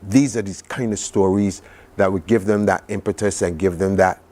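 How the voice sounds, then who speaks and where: Male interview guest, Barbadian accent, lower register, chatty and reflective with an enthusiastic storytelling manner. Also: steady tone, normal speed, mellow. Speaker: studio guest recounting a trip. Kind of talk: conversational television interview.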